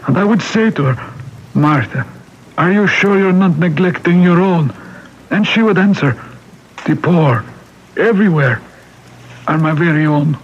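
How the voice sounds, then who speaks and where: Earnest high-voiced priest. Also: male voice, expressive, a little slow, pauses often, husky. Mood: earnest, solemn. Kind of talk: 1970s radio drama acting. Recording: muffled vintage radio broadcast, hissy.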